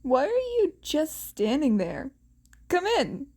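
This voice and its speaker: A woman's voice: high voice, huge pitch swings, slow pace, darkish tone, voice pushed up, heavily acted. Woman as an easygoing, raised-voice male hero. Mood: casual, reassuring. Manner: breezy, informal.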